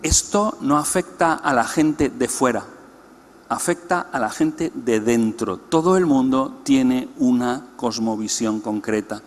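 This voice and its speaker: A man's voice: mid-range voice, slow. A man giving an explanatory teaching talk to a church congress, putting questions to the audience. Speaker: conference speaker. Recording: long hall reverb.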